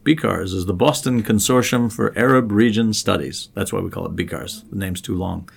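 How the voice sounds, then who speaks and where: A man's voice: fairly low pitch, normal speed, vocal fry, slightly rough. A man hosting a podcast as a professor, chatting in a friendly way and listing places and names one by one. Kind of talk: casual podcast chat.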